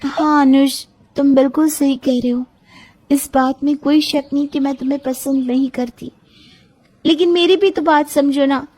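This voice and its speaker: A very high-pitched, affectionate young woman from a storybook romance, acted out by a woman. She speaks coyly and earnestly, like someone lovelorn and gently pleading her case. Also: steady tone, moderate pace, close to an everyday voice, poor audio. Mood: sad, pleading.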